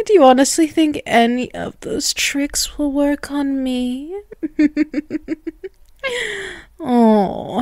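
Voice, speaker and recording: A woman's voice: a little high, darkish tone, dry recording, studio quality. A woman voicing a dominant, ageless vampiress in an ASMR roleplay, teasing and condescending, drawing out her words. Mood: amused, condescending. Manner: slow, condescending, teasing, with drawn-out words and chuckles. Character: dominant, taunting ageless vampiress toying with a captive human.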